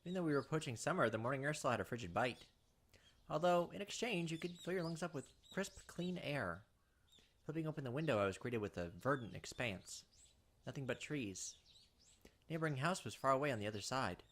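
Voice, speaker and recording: A man's voice: medium pitch, animated, fairly quick, fairly bright tone. A man hosting a let's play, reading a visual novel's narration aloud with casual asides. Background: game music behind the voice.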